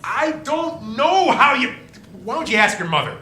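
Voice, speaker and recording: A man's voice: very high voice, very animated, normal speed, bright, forceful tone, a little hiss or hum, heavily acted. A man playing a desperate, hot-tempered family man who stammers with emotion in a live radio play. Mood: agitated, angry. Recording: roomy live stage, music behind.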